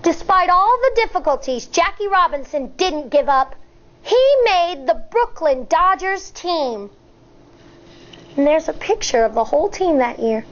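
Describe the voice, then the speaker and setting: High-pitched woman reading aloud to children. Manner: expressive, deliberate storytelling with explanatory asides. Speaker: elementary school teacher. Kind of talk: children's read-aloud with teaching asides. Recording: dull, lo-fi sound.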